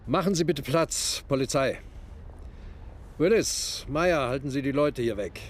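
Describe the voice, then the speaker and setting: An actor playing a steady senior police detective in a German radio crime drama, speaking tersely and with authority as he gives orders. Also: mid-range voice, unhurried, background noise, natural voice. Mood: commanding.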